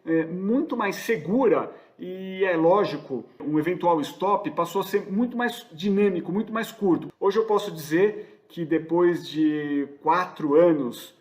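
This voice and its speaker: A man in his fifties from São Paulo gives a reflective testimonial. He pads his speech with small fillers. His voice sits slightly high for a man. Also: unhurried, hardly any pauses, a little mellow. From São Paulo, Brazil. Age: 50s. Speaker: student giving testimonial.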